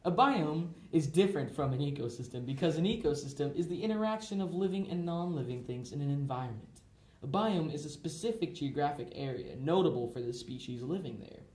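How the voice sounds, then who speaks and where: A man's voice: a little high, animated, a little fast, a little mellow. A young man reading scripted educational narration with jokey asides, recorded in a roomy, amateur way.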